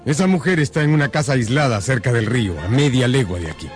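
Man acting a commanding count, middle pitch, stern, formal, radio drama, light music underneath. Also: lively intonation, fast talker, close to an everyday voice. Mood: grave, businesslike. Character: stern, authoritative nobleman presiding as judge.

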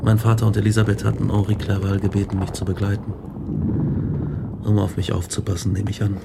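An actor playing a tormented, guilt-ridden young scientist in a German radio drama, grave and intense. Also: male voice, fairly low pitch, level tone, brisk, vocal fry, heavy background noise. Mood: earnest, driven. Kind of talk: German radio drama acting, narration and dialogue.

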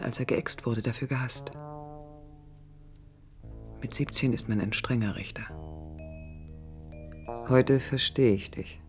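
An actress playing a passionate young woman looking back on her life in an intimate, measured radio drama monologue. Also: very deep voice, darkish tone.

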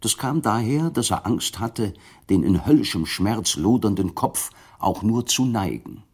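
A man with a deep voice narrates a literary radio drama. The tone has a marked husky grain. The delivery is scripted, measured and solemn. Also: animated.

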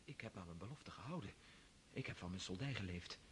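A young nobleman just home from the army. His voice is bright and forward, his manner eager and hearty yet dutiful. Faint hiss from the old recording. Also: low-pitched, animated, a little fast. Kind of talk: radio play acting.

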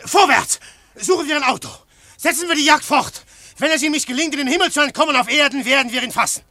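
A man's voice: very high-pitched, lively intonation, fast talker, pushed and bright, exaggerated voice. A man acting in a German radio play as an earnest, urgent pursuer and narrator, with dramatic emphasis. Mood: urgent, determined.

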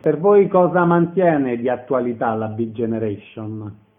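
A man's voice: medium pitch, measured pace, narrow, tinny audio. A man asking short questions as an interviewer. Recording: band-limited radio or phone sound.

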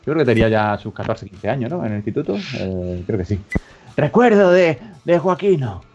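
A man's voice: medium pitch, very animated, moderate pace, darkish tone, dull audio. A man from Spain hosting a comedic radio chat, joking and rambling as he reads out web searches. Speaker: radio show host.

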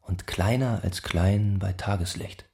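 A man's voice: deep voice, measured pace, creaky, a little mellow. A man reciting poetic radio play text in an incantatory, list-like manner. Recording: roomy echo, guitar music behind. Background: plucked guitar music.

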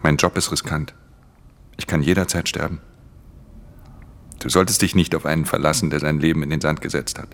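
A man playing a world-weary, guarded pickpocket who narrates a radio crime drama in an intimate, gravelly voice. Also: very deep voice, steady tone, a little fast, pauses often. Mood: gruff, guarded.

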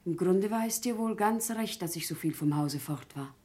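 Very husky, proud wounded wife. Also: female voice, on the low side, lively intonation, moderate pace. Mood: wounded pleading. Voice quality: husky, breathy. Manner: intense theatrical delivery, often hushed. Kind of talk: German radio play acting.

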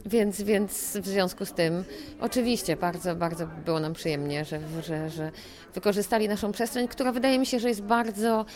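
A woman's voice: mid-range voice, normal speed, darkish tone. A woman who runs an event venue, answering casually in an interview, chatty and repeating her words. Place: cellar event space.

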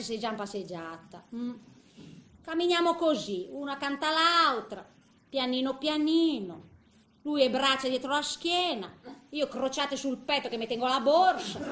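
A woman's voice: sits high, fairly bright tone, echoey room, a little hiss or hum. An actress playing a tender, chatty eighty-year-old woman with a Ligurian Italian accent, in a homely theatrical monologue. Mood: fond, chatty. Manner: chatty, homely, hesitant storytelling with little interjections.

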